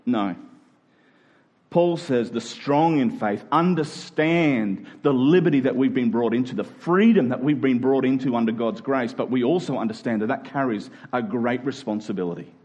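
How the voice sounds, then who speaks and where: A man's voice: medium pitch, normal speed, darkish tone, lo-fi sound. A man preaching a conversational sermon, emphatic and rhetorical, often voicing imagined objections.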